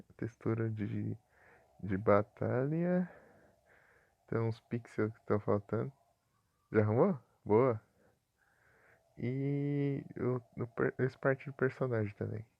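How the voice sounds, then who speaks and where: A man's voice: medium pitch, gravelly, no echo, muffled recording. A Brazilian man from Paraná streaming a game live, chatting casually with viewers and chuckling often.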